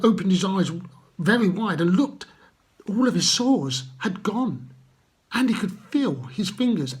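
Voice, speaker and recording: A man telling stories to children and addressing them gently, with simple, unhurried phrasing and guiding questions. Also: high voice, slightly rough. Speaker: children's Bible storyteller.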